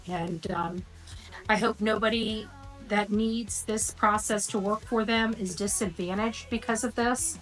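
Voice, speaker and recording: A woman talking casually to an online audience in an earnest, halting way. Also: medium pitch, steady tone, fairly bright tone, soft background music, poor audio. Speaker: online video creator.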